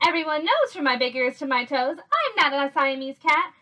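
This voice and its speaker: Woman as a cheeky little boy kitten, very high-pitched. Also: normal speed, heavily acted. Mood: excited, boastful. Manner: excitable, theatrical. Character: cheeky, boastful little boy kitten who fancies himself a chihuahua. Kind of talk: children's storybook read aloud.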